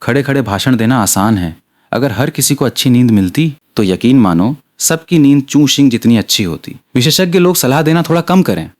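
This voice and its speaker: A man's voice: mid-range voice, expressive, normal speed, lightly acted. A man acting out an indignant, sarcastic online commenter. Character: indignant, incredulous online live-chat commenters.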